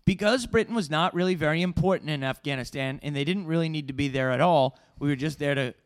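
A man's voice: on the high side, fast, clean tone. A man co-hosting a comedy podcast, telling an improvised tall tale in a mock-serious tone and often laughing at his own lines. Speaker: podcast co-host. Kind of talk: improvised comic storytelling.

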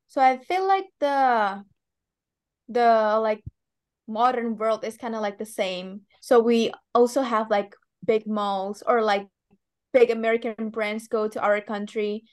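A woman's voice: a little high, measured pace, darkish tone, smooth. A young woman from Indonesia with an Indonesian accent, an exchange student answering interview questions casually. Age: teens to young adult.

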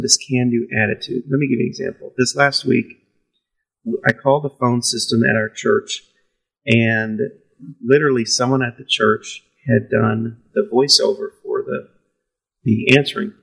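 A man's voice: medium pitch, steady tone, normal speed, gravelly, a bit bright, slightly rough, low-quality recording. A pastor, a man, telling candid stories about his preaching in a self-deprecating way, laughing easily. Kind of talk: candid conversational storytelling.

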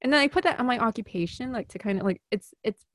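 A woman's voice: on the high side, expressive, fast, no echo. Chatty woman with a Chicago accent. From US Midwest. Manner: chatty, giggly, self-deprecating. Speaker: actor and filmmaker, guest. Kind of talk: casual podcast interview.

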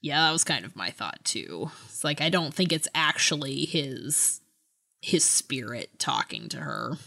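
A woman's voice: extremely low pitch, very animated, measured pace, creaky, pushed and bright. A woman co-hosting a podcast in a casual book discussion, thinking aloud with some hesitation. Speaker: podcast co-host.

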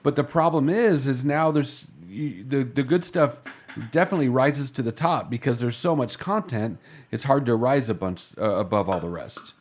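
A man's voice: medium pitch, moderate pace, a little mellow. A man hosting a radio morning show, asking questions in an easygoing, joking way. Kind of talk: casual interview chat.

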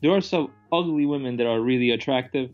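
A man's voice: medium pitch, fast talker. A man co-hosting a film podcast in casual conversation. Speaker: podcast co-host. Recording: slightly dull lo-fi audio, music behind.

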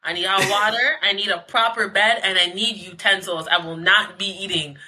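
A woman from Guyana and Trinidad, a podcast guest talking in a lively, emphatic, sassy way. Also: medium pitch, moderate pace, poor audio. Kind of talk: casual podcast chat.

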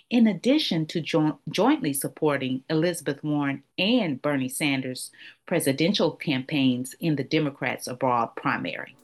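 A woman hosting a podcast, reading out guest introductions and then asking her guests questions in a measured way. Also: sits low. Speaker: podcast host.

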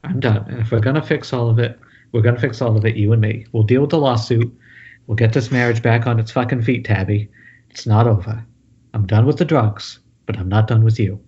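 A man's voice: mid-range voice, fairly quick, warm tone, lightly acted. A man playing a troubled, hard-drinking writer in an amateur screenplay table read over an internet call. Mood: pleading, earnest. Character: troubled male writer, washed-up drinker pleading with his wife. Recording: dull lo-fi Skype call.

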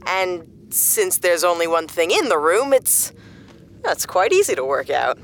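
A practical, sceptical adventurer in a sci-fi audio drama. She is dry and blunt, with lively intonation. There is faint noise behind her voice. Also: fairly low pitch, a little slow.

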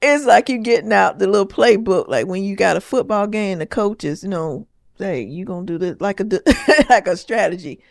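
A woman co-hosting a casual chat with her husband, talking in a reflective, soft-spoken way. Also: mid-range voice, moderate pace, creaky, no room sound. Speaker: podcast co-host. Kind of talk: casual married-couple chat.

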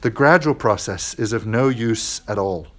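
A man voicing a calm angel who speaks slowly and gravely in a lowered voice. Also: close to an everyday voice. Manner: slow, grave, measured. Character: calm, burning male angel.

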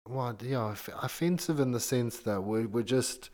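A man's voice: medium pitch, moderate pace, a little mellow. A man from Zimbabwe co-hosting a podcast, bantering casually in a laid-back but opinionated way. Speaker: podcast co-host.

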